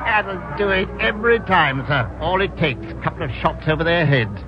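A man's voice: fairly high pitch, lively intonation, normal speed, natural voice. A man plays a retired British army colonel in an old radio drama, speaking in a raised, commanding voice. He is hearty and clipped, heard through a muffled, noisy recording with a crowd around him. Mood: hearty, knowing. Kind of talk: 1950s radio drama acting.

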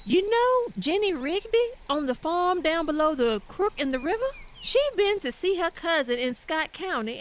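High-pitched folksy frontier farm wife. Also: female voice, a little hiss or hum, full character voice. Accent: rural Southern US. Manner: folksy, drawling storytelling. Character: gossipy, friendly frontier farm wife, neighbour visiting. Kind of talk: radio drama acting. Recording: outdoor scene with loud cricket ambience.

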